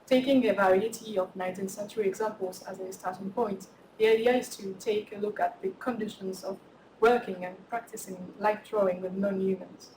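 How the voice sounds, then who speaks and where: A woman with a French accent presents her research paper in careful, measured English. She is picked up from a distance in a reverberant lecture room. A steady noise sits under her voice. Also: medium pitch. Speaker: conference speaker. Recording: echoey lecture hall, distant mic, noisy.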